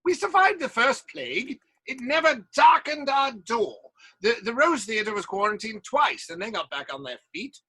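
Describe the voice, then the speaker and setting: An actor playing a fervent, protective leader of a theatre troupe, speaking emphatically in a theatrical audio drama. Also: male voice, extremely high pitch, very animated, moderate pace, sharp tone, slightly rough, exaggerated voice. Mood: firm, reassuring.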